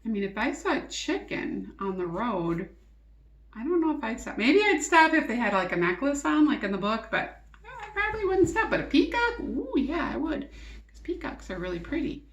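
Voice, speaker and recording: A woman teacher reading a storybook aloud to young children, expressively, pausing to ask questions and explain things simply. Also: high voice, very animated. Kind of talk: interactive storybook read-aloud and lesson. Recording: echoey, roomy sound.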